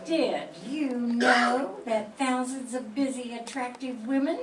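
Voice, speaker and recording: An older woman reading a chirpy woman in an old-time radio soap commercial, with a sweet sales-pitch delivery. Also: takes it slowly. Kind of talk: 1940s radio commercial acting. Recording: echoey room, distant mic, faint hiss.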